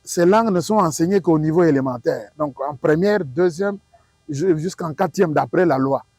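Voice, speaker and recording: Husky Congolese professor, dry close sound. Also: male voice, a little high, moderate pace, a little mellow, dry recording. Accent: Congolese French. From Democratic Republic of the Congo. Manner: didactic, emphatic, rhetorical questions and repetition. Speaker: professor, linguistics expert. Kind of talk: interview, explaining to an audience.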